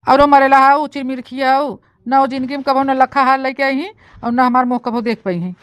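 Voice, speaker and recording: A woman's voice: high-pitched, steady tone, fairly quick, dry recording, close to an everyday voice. A woman playing a sly new village bride in a comic cartoon story, with an eastern Uttar Pradesh accent and a dramatic, scheming way of talking. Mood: gloating. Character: sly rural new bride hiding a secret from in-laws. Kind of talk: comic village story dialogue and monologue in dialect.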